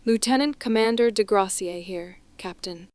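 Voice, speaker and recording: A man's voice: sits high, normal speed, dry recording, clean studio sound, voice pushed up, lightly acted. A man voicing a pleasant, melodious female naval officer speaking formally on the phone. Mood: polite. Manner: soft, polite, formal.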